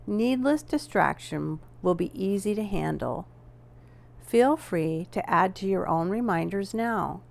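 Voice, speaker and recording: A woman leading a guided relaxation as a yoga nidra instructor, giving gentle, measured instructions with deliberate pauses. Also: medium pitch, expressive, creaky.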